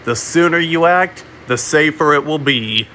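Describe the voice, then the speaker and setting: A man delivering a mock emergency alert in a halting way, often repeating and restarting his phrases. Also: a little high, sharp tone, slight background noise. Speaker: amateur narrator of a fictional emergency broadcast. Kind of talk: mock emergency alert announcement, semi-improvised.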